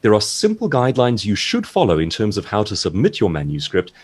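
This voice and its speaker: Animated, low-voiced man giving advice. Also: brisk, slightly rough. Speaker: guest author. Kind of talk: seminar interview, giving advice. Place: online video call.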